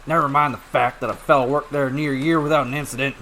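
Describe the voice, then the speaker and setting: A man voicing a drunken, irate miner who talks loudly and belligerently, as if hollering across a room. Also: medium pitch, fairly even intonation, fast, slight background noise. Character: drunken rowdy adult male miner, irate.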